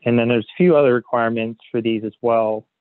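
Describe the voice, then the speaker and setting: A man presenting a software webinar as a consultant, explaining slides in a hesitant way padded with "you know". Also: medium pitch, fairly even intonation, fast. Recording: phone-like narrow band, roomy echo.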